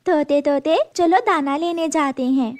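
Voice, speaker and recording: A woman's voice: high voice, a little slow, a little mellow, pitched above the performer's own voice. A woman voicing a small mother sparrow in a children's cartoon, sweet and pleading but clever. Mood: sweet, hopeful.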